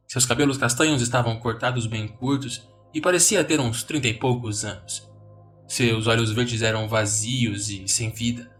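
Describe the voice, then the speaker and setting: Brazilian guy reading creepypasta, dramatic delivery, mid-pitched voice, music underneath. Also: a bit bright. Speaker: horror story narrator. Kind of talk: dramatic scripted horror narration.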